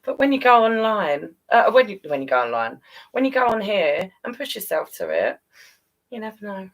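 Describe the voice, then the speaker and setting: A woman's voice: mid-range voice, big pitch swings, normal speed, distant mic. A British woman hosting a live storytelling stream, chatting casually and affectionately with her viewers and encouraging them. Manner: affectionate, encouraging, calls listeners darling and guys.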